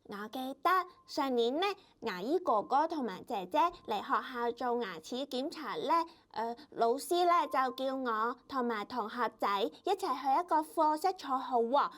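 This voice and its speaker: A woman's voice: sits high, expressive, normal speed, few pauses, fairly bright tone. A woman playing a chatty, bossy five-year-old big sister in a children's puppet show, speaking with a Hong Kong Cantonese accent in a childlike way. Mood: cheerful, explaining. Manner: childlike, sing-song particles, explains step by step.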